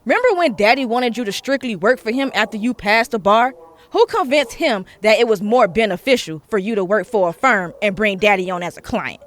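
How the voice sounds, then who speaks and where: A woman's voice: medium pitch, lively intonation, brisk, fairly bright tone, lightly acted. A woman acting a combative, sarcastic daughter in an audio drama, with a sassy manner. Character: combative daughter fiercely defending her mother.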